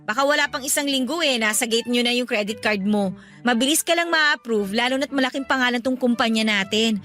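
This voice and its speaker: A woman playing a lively, persuasive shopaholic friend in a radio drama, animated and coaxing. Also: a little high, a little fast, soft background music.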